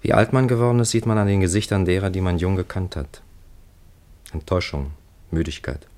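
An actor playing a weary, disillusioned ageing man who broods haltingly in radio-play style. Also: deep voice, steady tone, a little mellow, natural voice. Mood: weary, wistful. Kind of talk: mid-century German radio play acting.

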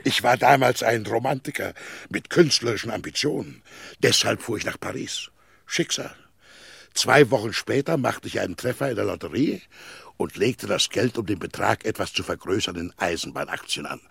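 An actor in a radio play, playing an imperious, self-important company president, gravelly and husky, with a pompous, commanding manner. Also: male voice, lowish voice, normal speed, fairly bright tone, no echo, clean studio sound, heavily acted. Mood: amused, nostalgic. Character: imperious, self-important tycoon, hectoring his staff, absent-minded. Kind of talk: German radio play acting.

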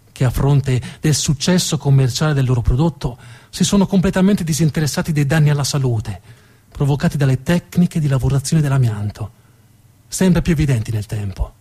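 A man giving a scripted dramatic narration as a theatre storyteller, measured and deliberate, with pauses and emphatic repetition. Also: on the high side, warm tone, slightly rough. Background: plucked guitar music.

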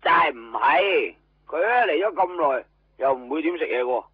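A man's voice: fairly high pitch, lively intonation, moderate pace, slightly rough, no echo. An actor playing a flustered, grumbling male friend in a Cantonese radio drama, complaining in exclamations with a Hong Kong accent. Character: flustered, grumbling male buddy, exasperated but obliging. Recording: dull old radio recording, faint music.

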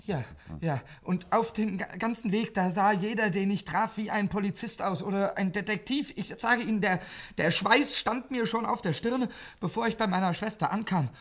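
A man's voice: sits high, moderate pace, fairly bright tone. An actor playing a nervous male thief who stammers and pleads as he confesses, in 1950s radio drama style. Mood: nervous confession.

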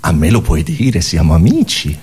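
An actor as a deep, wildly swooping hypnotist. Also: male voice, wildly swooping pitch, normal speed, a little mellow, breathy edge, exaggerated voice. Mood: patronising, coaxing. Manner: commanding, theatrical. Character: bossy, absurd male hypnotist, smoothly coaxing and mocking. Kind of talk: absurdist comic stage play dialogue.

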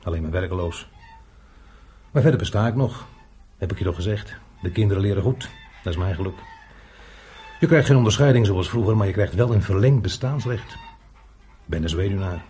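An actor playing a chatty, jovial unemployed handyman in a radio drama, talking colloquially with a raspy, husky voice. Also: low-pitched, expressive, a little fast, mellow. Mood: frank, chatty. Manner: talkative, colloquial, chuckling. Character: chatty, jovial unemployed workshop handyman showing a newcomer around.

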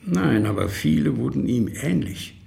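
An actor playing a weary, resentful old man giving testimony in a radio drama, deliberate and terse, with a creaky, gravelly voice. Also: medium pitch, sing-song, dark tone, full character voice. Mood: weary, resigned.

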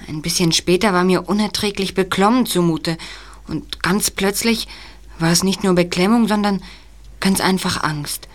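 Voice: mid-range voice, normal speed, lightly acted. An actor playing an uneasy, reluctant boy detective sidekick in an audio drama, plain-spoken and a little hesitant. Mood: uneasy, defensive.

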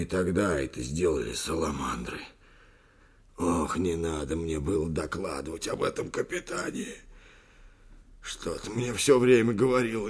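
A man voicing a frightened, guilt-ridden old father in an audiobook, with a hoarse, trembling, muttering delivery. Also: deep voice, heavily acted. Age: older. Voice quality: husky, hoarse, whispering at times.

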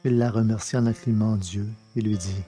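A man reading a novel aloud in measured, deliberate narration. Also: fairly low pitch, steady tone, dark tone, faint music behind. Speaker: audiobook reader. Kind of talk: scripted narration of a science-fiction novel.

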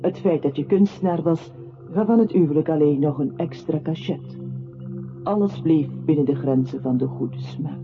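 A thin, narrow-band radio recording carries a man playing an observant chronicler. His fairly high voice is deliberate and matter-of-fact, articulating each thought over audible music. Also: natural voice. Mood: explanatory. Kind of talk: literary radio play narration in second person. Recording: tinny band-limited radio drama with music.

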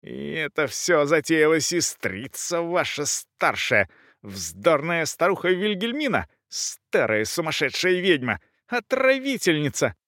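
A man's voice: fairly high pitch, big pitch swings, a little slow, fairly bright tone, no echo, clean studio sound, voice pushed up, full character voice. A man voicing a haughty young countess who speaks in indignant, emphatic outbursts in an audiobook. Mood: venomous fury. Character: young furious countess, haughty, shrill-tempered, scandal-making.

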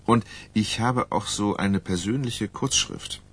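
An actor playing a candid, careful male ghostwriter in a German radio crime drama, explaining things in a measured way. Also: lowish voice, fairly bright tone, slightly rough. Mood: earnest, cautioning.

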